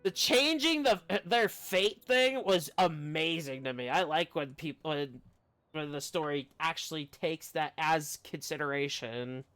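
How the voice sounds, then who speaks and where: A man's voice: on the high side, measured pace, a bit bright, clear, resonant tone. A man giving casual, rambling commentary as a game streamer, often breaking off mid-sentence. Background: faint game music.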